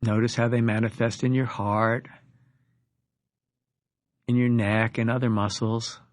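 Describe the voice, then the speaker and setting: A man leading a guided meditation as a teacher, giving instructions with deliberate pauses between phrases. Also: mid-range voice, little pitch movement, no room sound, studio quality.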